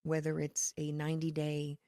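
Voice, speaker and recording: A woman from Connecticut, a ministry leader, explaining her work in an earnest, faith-filled, measured way as a podcast guest. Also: deep voice, monotone, warm tone, dry recording, clean studio sound. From Connecticut, USA. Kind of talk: podcast interview.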